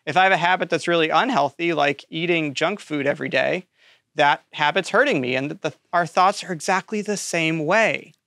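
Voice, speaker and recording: A man's voice: on the high side, moderate pace, bright, forceful tone, no echo, studio quality. A man explaining ideas in casual talk, with nerdy enthusiasm and frequent check-ins with his listener.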